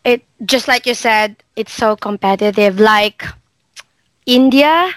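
Filipino woman, bright dry close voice, animated interview guest. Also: on the high side, takes it slowly, no room sound. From Philippines. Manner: animated, acts out client dialogue. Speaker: guest, virtual assistant. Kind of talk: casual interview chat.

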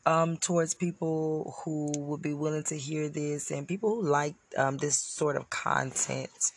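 A woman's voice: low voice, unhurried, breathy edge. A woman hosting a casual solo podcast, sick with a scratchy voice, talking bluntly and directly to her listeners. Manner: blunt, animated and preachy, addressing listeners directly. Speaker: podcast host. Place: parked car. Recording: noisy car recording.

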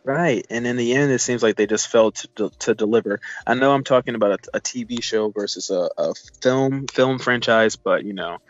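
A man's voice: medium pitch, fairly quick, hardly any pauses, no room sound. Man chatting casually, slightly dull recording. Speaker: guest reviewer. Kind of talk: casual chat.

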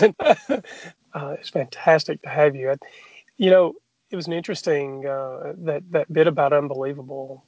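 A man's voice: a little high, no echo, muffled recording. A man co-hosting a podcast, chatting casually and reflectively in a hesitant, musing way. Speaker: podcast co-host.

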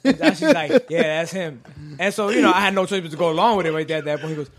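A young man co-hosting a podcast, telling a story loudly and swearing a lot while his friends laugh and talk over him. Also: fairly high pitch, fast, a crowd in the background. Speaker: podcast co-host. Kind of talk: casual banter among friends. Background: friends talking over and laughing.

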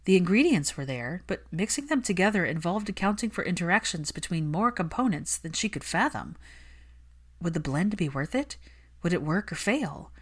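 A woman reading a story aloud as scripted narration, in an expressive manner. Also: on the low side, normal speed, husky. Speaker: fan fiction audiobook narrator.

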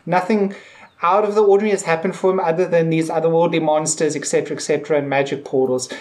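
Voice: medium pitch, steady tone, fast talker, a little mellow. A podcast co-host talking casually in a discussion, conversational and peppering sentences with "like". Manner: conversational, heavy use of "like".

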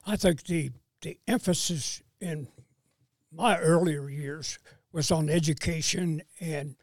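A man in his seventies talks in an unhurried, plain-spoken way. His voice is gravelly and sits a little higher than most men's. The recording is close and dry. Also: no echo. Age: 70s. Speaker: podcast guest, host's father. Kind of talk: casual conversation.

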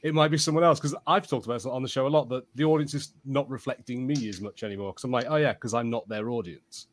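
A man's voice: mid-range voice, fast. A man hosting a podcast, debating casually in conversational speech. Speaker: podcast host.

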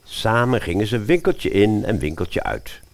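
A man's voice: on the low side, sing-song, a little slow, vocal fry. A man narrating a scripted fairy-tale radio play as its storyteller.

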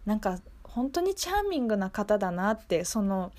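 A woman's voice: mid-range voice, big pitch swings, moderate pace, a little mellow. A woman hosting a local radio show in a casual, chatty, conversational way.

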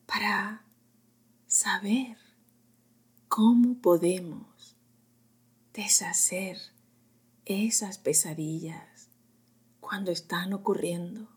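A woman narrating a guided sleep meditation in a gentle, unhurried, whispery voice, in short phrases with long pauses. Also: mid-range voice. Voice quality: breathy, whispery, often dropping into whisper.

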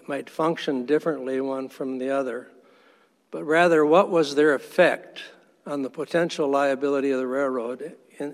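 A man arguing as attorney for the respondent before an appellate court, formal and measured, respectfully firm when he disagrees. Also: medium pitch. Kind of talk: appellate oral argument. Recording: long courtroom reverb.

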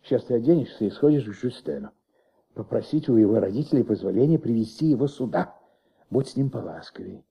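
An actor playing an ailing, insistent middle-aged police commissioner in a radio play, gravelly and halting, repeating himself as he thinks aloud. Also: mid-range voice, expressive, warm tone. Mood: coaxing, insistent. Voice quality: gravelly, husky. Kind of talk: Soviet radio play acting. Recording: muffled old radio recording.

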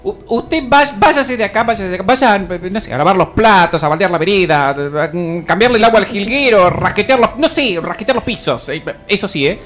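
An actor performs a domineering stepmother from a comic radio fairy tale, with a Rioplatense Argentine accent. The delivery is scornful and animated, swooping up and down over background music. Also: mid-range voice, a little fast, few pauses, natural voice. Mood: dismissive. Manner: scolding, theatrical, breaking into hesitant improvised stammers. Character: cruel bossy stepmother of Cinderella, mocking and greedy. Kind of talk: comic radio theatre acting.

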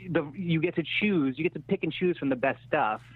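Screenwriter guest, male, thirties. Halting, self-deprecating, conversational; narrow telephone-band audio. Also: fairly high pitch, expressive, slightly rough. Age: 30s. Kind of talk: casual interview chat. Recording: thin phone-like radio-style sound.

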